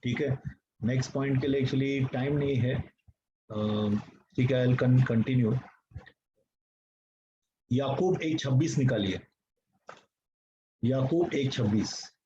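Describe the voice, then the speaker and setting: A man's voice: mid-range voice, slow, long pauses, dark tone. A male pastor preaching an earnest sermon, speaking directly to his congregation and slipping English phrases into his talk. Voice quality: mixes English phrases into speech. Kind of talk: sermon teaching. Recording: dull lo-fi recording with faint noise.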